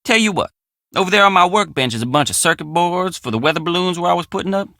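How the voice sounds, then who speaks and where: A man's voice: sits high, lively intonation, quick pace, vocal fry, bright, forceful tone, no echo, studio quality, exaggerated voice. An actor playing a friendly, plainspoken young country handyman with an American drawl in a video game. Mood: upbeat, eager. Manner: folksy, plainspoken country phrasing. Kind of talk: video game character dialogue.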